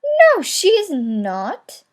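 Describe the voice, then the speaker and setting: A woman's voice: extremely high pitch, wildly swooping pitch, extremely slow, a little hiss or hum, heavily acted. A woman voicing polite young picture-book animals who patiently and emphatically explain things.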